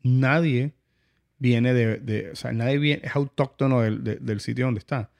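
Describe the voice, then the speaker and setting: A man with a Venezuelan accent hosting a casual, reflective conversation, haltingly repeating words as he thinks aloud. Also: mid-range voice, gravelly, a little mellow. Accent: Venezuelan Spanish. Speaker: interview host.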